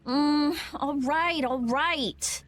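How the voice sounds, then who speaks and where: A woman's voice: high voice, drawn-out words, a little hiss or hum. An actress playing a brainy, brusque teenage girl researcher in a video game. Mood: reluctant. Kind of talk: animated video game acting.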